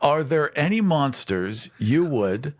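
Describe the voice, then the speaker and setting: A man's voice: fairly high pitch, sing-song, slow pace. A man co-hosting and editing a podcast, chatting casually, teasing his co-host and laughing often.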